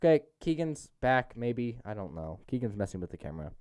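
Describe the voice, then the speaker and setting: Animated man hosting a podcast. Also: medium pitch, fairly quick, clean tone, dry recording, studio quality. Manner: animated, trying to keep unruly co-hosts on track. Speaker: podcast host. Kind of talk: casual chat reviewing a film.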